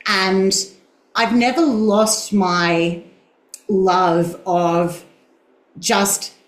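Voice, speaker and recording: Boomy-voiced woman in her forties, roomy. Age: 40s. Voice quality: boomy mezzo voice. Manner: reflective, self-deprecating, laughs easily. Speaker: guest, singing teacher. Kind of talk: conversational interview.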